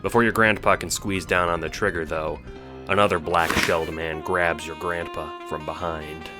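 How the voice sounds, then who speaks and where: A man's voice: sits low, steady tone, moderate pace. A man narrating an audio drama in a dramatic, scripted storytelling style, with music behind him.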